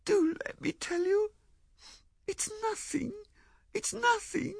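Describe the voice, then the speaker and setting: A man voicing a timid, pleading young wife with a halting, breathy, tearful delivery in an audiobook. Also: extremely high pitch, no room sound, studio quality, pitched above the performer's own voice, heavily acted. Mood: wailing, pleading. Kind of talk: audiobook character acting.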